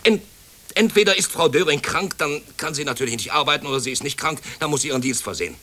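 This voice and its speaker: An actor playing a brusque, overworked middle-aged company director in a German radio play, officious and self-pitying. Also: male voice, on the high side, animated, fast talker, sharp tone. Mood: irritated. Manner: brusque, officious, brooding when alone. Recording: hissy old radio play recording.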